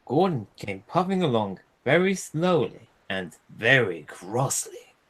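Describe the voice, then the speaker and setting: Lively male children's storyteller. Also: mid-range voice, rough recording. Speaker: storyteller, streamer. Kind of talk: animated children's storybook reading.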